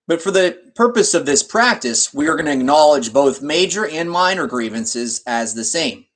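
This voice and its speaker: A man leading a guided meditation in an instructional way, speaking deliberately in short phrases with pauses mid-sentence. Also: on the high side, animated, a bit bright.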